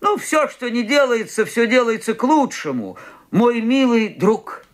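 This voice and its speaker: A man's voice: extremely high pitch, lively intonation, a little slow, background noise. An actor playing a fussy, self-satisfied old doctor in a radio play, rambling as he talks on the phone. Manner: rambling, fussy, talking on the telephone. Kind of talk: Soviet-era radio play acting.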